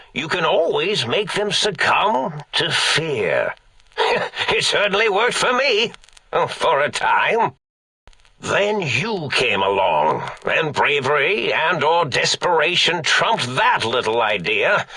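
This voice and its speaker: Creaky, swooping mad old scientist. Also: male voice, fairly high pitch, bright, forceful tone, no echo, heavily acted. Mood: wistful, musing. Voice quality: raspy, creaky. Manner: theatrical, pompous, rambling, absent-minded. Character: eccentric, forgetful old mad scientist doctor, theatrical yet harmless. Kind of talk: video game character acting.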